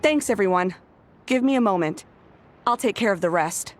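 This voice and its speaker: Composed woman bar owner acted by a woman, medium pitch, polite, businesslike, video game, faint music. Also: lively intonation, moderate pace, lightly acted. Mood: helpful. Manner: courteous, composed.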